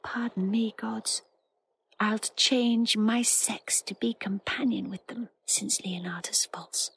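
An actress playing a gentle, sorrowful young princess disguised as a boy, speaking Shakespearean verse with wistful courtesy. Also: mid-range voice, steady tone, takes it slowly, vocal fry, slightly rough, exaggerated voice. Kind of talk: theatrical Shakespearean verse acting.

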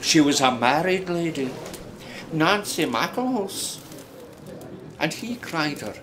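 Wry Scottish narrator for radio drama: sociable, musing, hugely varied intonation, clear ambient noise behind. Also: male voice, a little high, big pitch swings, slow pace. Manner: chatty, musing storyteller. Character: wry, sociable Scotsman reminiscing about a poet friend.

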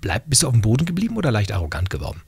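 A middle-aged male radio host interviews a guest in an informal, halting way. His voice is very husky and creaky, with animated pitch. It sits close on the mic in a dry studio. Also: no room sound. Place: small treated radio studio. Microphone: close broadcast boom mic, headset monitoring.

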